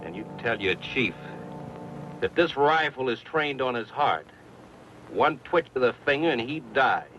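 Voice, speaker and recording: A man's voice: medium pitch, expressive, measured pace, close to an everyday voice. An actor playing a firm, wary American frontiersman with terse, folksy speech in a western radio drama. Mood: threatening, firm. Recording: muffled lo-fi radio recording, background hum.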